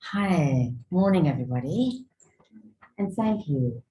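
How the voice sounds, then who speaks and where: Low-voiced South African woman speaker. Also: animated, slow pace, dark tone, slight background noise. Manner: engaging, conversational, asks the audience questions. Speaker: wellbeing speaker. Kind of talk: conference keynote talk. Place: conference hall. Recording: echoey hall, faint ambience.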